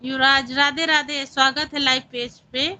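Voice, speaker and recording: Bright high-voiced woman live-chatting. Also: flat delivery, normal speed. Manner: chatty, greets viewers and reads out comments. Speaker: live stream host. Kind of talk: casual live stream chat with viewers.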